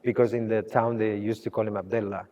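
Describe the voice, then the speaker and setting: A man who grew up in Spain, speaking English as a second language, talks about his art in a halting way, often restarting and searching for words. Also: lowish voice, flat delivery, dark tone, big-room sound, muffled recording. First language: Spanish. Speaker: artist. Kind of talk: gallery conversation.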